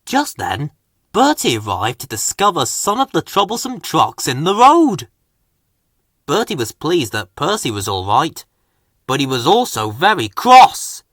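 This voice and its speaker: Slow-paced, bright-voiced male storybook narrator. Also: on the high side, huge pitch swings, dry recording, studio quality. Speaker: storybook reader. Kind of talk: scripted children's story narration.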